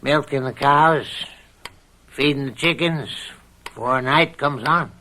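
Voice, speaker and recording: An actor playing a scheming, controlling older farm uncle with drawn-out, falsely kindly wheedling in an old radio mystery drama. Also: male voice, medium pitch, bright, forceful tone, slight background noise. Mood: oily, coaxing.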